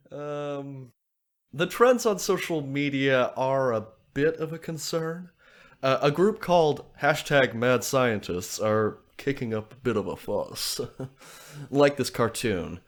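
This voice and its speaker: A man's voice: medium pitch, lively intonation, slow. An actor playing an affable, chatty man who works in health science, with an informal, chuckling manner. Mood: chatty, slightly uneasy. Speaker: actor in an audio drama. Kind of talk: naturalistic audio drama acting.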